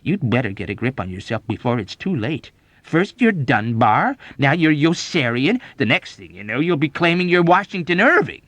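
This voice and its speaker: A man's voice: mid-range voice, lively intonation, slow pace, hardly any pauses, mellow, no room sound. A man voicing a belligerent, easily offended army major in an audiobook, indignant and accusatory. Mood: belligerent hectoring. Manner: indignant, accusatory, badgering, emphatic. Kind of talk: audiobook character acting.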